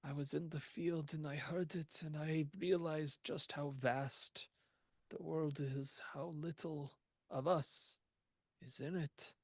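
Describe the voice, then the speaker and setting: Guy doing a flat spooky mystic dude, slow and halting, dry sound. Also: on the high side, no room sound, voice pushed up. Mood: flat, haunted. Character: haunted male mystic, flat and distant.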